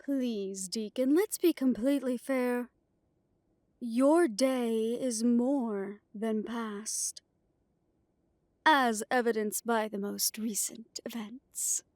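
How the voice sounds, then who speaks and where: A haughty vampire matriarch voiced by a woman in an audio drama. She speaks very slowly in a fairly high voice, silky and condescending, every phrase measured. The sound is close and dry. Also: a little mellow, no echo. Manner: slow, measured, haughty delivery. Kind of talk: audio roleplay drama acting.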